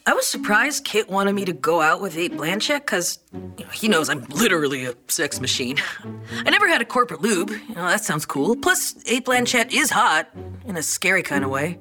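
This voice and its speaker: A woman voicing an excitable, cocky robot worker in an audio comedy, with breezy, boastful, slangy delivery. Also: lowish voice, fairly quick. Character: excitable, cocky robot worker of a human-removal firm, Hundo. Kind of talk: audio comedy acting, mock-documentary. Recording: music playing behind the voice. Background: music.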